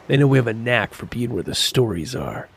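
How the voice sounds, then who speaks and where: A man's voice: medium pitch, very animated, brisk, vocal fry, husky, exaggerated voice. A man playing a supportive young member of a news crew in an audio drama. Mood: upbeat. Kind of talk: audio drama character acting.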